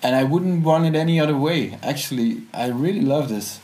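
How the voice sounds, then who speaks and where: A man in his forties hosting a casual conversation, relaxed and encouraging. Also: fairly high pitch, fairly quick, poor audio. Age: 40s. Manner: relaxed, brief affirmations.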